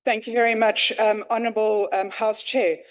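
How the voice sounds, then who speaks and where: A woman's voice: on the high side, little pitch movement, moderate pace. A South African woman, a member of parliament, speaking formally and assertively in a debate and raising points of order.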